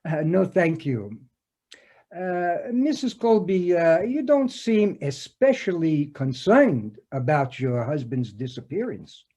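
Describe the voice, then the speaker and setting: A man reading the part of a patient police detective in a comic scene, speaking haltingly with many hesitations. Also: on the high side, very animated, warm tone, exaggerated voice. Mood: probing. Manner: halting, full of "uh" fillers. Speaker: reader in an amateur readers theater performance. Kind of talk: comic readers theater scene read over a video call. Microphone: home video call mic.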